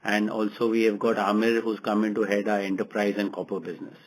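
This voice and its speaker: A man answering as a company executive on an earnings call in a measured, halting manner. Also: on the low side, level tone, poor audio.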